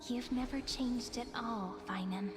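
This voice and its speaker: A woman voicing a gentle, wistful young companion in a video game, soft and lingering. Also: on the high side, a little slow, close to an everyday voice. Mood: tender, wistful. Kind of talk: dramatic video game voice acting. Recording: echoey reverb, loud music behind.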